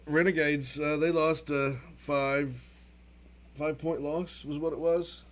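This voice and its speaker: A man's voice: on the high side, steady tone, a little slow. A man co-hosting a sports show, giving updates in a casual, conversational way.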